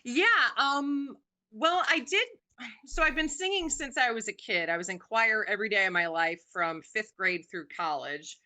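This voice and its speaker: Bright-voiced woman in casual chat. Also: a little high, lively intonation, normal speed. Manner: chatty, warm about her music, many "um"s. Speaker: guest, singer and actress.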